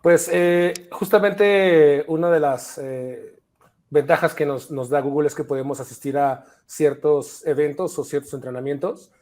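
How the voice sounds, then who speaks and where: A man's voice: a little high, steady tone, a little slow, a little mellow. A man from Mexico City with a Mexican Spanish accent, talking as a podcast guest in a thoughtful way with frequent fillers. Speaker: podcast guest, chapter leader. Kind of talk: casual conversation.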